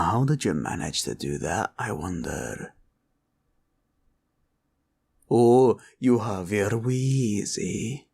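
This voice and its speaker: A man playing a greedy, menacing green dragon in a villain roleplay audio, gravelly and drawling as he gloats and haggles. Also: medium pitch. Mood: intrigued. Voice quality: gravelly, creaky. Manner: slow, drawling, grumbling and haggling.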